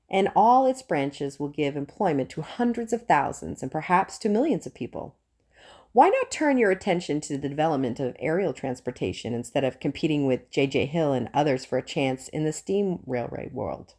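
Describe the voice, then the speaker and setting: A woman narrating a nonfiction audiobook in a measured, earnest and formal way. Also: low-pitched, mellow. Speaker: audiobook reader.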